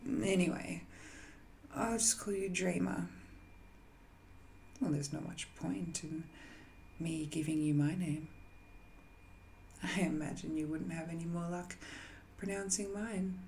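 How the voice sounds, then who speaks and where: A woman playing an ancient, banished magical villain in an audio roleplay, musing and seductive, with hesitant, intimate delivery that often softens toward a whisper. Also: fairly low pitch, fairly even intonation, takes it slowly, long pauses, a little hiss or hum. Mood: musing, curious. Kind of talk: scripted audio roleplay monologue, intimate and addressed to the listener.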